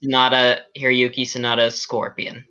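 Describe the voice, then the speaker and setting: A man's voice: medium pitch, completely flat delivery, normal speed, pushed and bright, smooth. A man chatting casually as a guest on a fan podcast, over a lo-fi connection.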